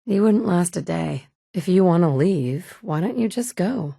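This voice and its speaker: A woman's voice: fairly low pitch, animated, a little slow, vocal fry, mellow, no echo, full character voice. A woman playing a determined mother turned hardened wasteland survivor, speaking firm, direct lines of game dialogue. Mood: matter-of-fact. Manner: firm, direct, dry and commanding. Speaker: player character voice. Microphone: studio mic, close.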